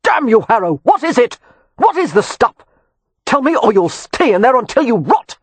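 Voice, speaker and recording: Narrator doing a furious young gentleman, shouting threats, husky voice, dry booth. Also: mid-range voice, sing-song, normal speed, no echo. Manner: angry shouting, hot-tempered.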